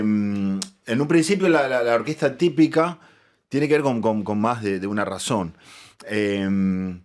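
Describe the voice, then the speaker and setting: A man, a musician interviewed as a guest, explaining in measured, articulate, rather formal wording. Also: mid-range voice, darkish tone. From Argentina.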